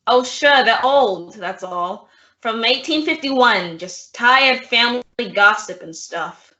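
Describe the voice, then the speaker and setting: Young woman doing a cornered swindler guy, defensive, brightish high voice. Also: animated, slow pace, muffled recording, exaggerated voice. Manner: defensive and blustering. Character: cornered male swindler confessing. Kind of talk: old-time radio drama acting.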